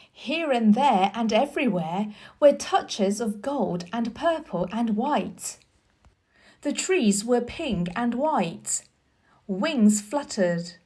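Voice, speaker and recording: Woman, storybook narration, unhurried, very animated intonation, deliberate teacherly read-aloud. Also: fairly high pitch.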